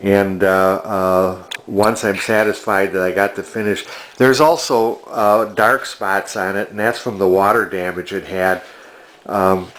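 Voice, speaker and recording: An older man with a fairly low voice talks through a hands-on project. He is plainspoken and matter-of-fact, often halting as he casually explains each step. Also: a bit bright, slight background noise. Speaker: antique restoration hobbyist demonstrating a refinishing project.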